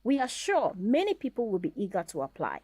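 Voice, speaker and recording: A woman's voice: medium pitch, huge pitch swings, fairly quick, a little mellow, no echo. A woman hosting a scripted promotional interview in a polite, formal way.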